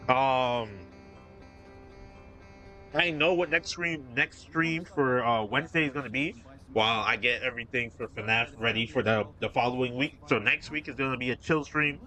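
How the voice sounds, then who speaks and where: A male streamer chatting loosely with his audience, looping back and restarting his sentences as he goes. His voice is bright and forceful, with faint game music running under it. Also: mid-range voice, moderate pace. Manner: rambling, restarts sentences, talks to chat. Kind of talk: casual live-stream chat.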